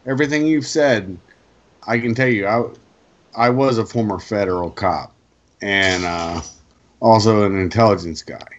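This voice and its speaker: A male co-host giving opinionated casual talk, explaining emphatically with halting pauses over a lo-fi connection. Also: on the low side, a little hiss or hum. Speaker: co-host putting questions to the guest.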